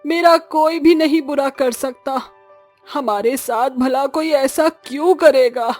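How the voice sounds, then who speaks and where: A poor, anxious village mother-in-law from a cartoon moral tale, played by a woman. Her high female voice laments plaintively and very slowly, with music behind it. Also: darkish tone. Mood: indignant hurt. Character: distraught, fearful village mother-in-law, poor banana farmer.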